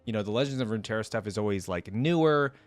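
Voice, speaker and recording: Man, informal video host, lowish voice, thinking aloud, rambling commentary, close dry recording. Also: lively intonation, quick pace, no echo, faint music behind. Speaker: video host reacting to game voice lines. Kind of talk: casual reaction commentary.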